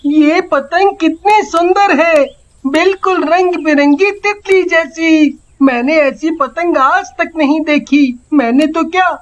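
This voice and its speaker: Woman as a very high-pitched earnest little boy. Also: dull audio. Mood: wonder. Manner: slow, childlike, earnest. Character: small, earnest, loving five-year-old village boy.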